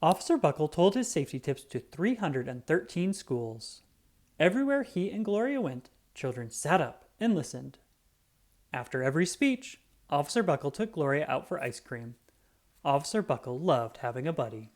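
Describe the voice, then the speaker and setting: Lively, animated children's storybook reader. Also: medium pitch. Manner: animated, dramatic, with drawn-out emphasis. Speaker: children's book read-aloud narrator. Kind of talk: expressive scripted storybook narration for children.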